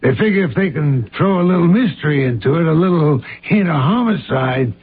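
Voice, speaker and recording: An actor playing a folksy, cynical police inspector in a radio drama, chummy, slangy and offhand. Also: male voice, on the high side, animated, a little fast, breathy edge. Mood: dismissive, cynical. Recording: muffled lo-fi radio drama recording.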